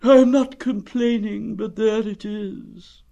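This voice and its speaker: A man voicing a gloomy, self-pitying old grey donkey in a children's storybook, with a slow, mournful, sarcastic delivery. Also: sits high, steady tone, vocal fry, dark tone, highs cut off, pitched above the performer's own voice, full character voice. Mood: mournful sarcasm.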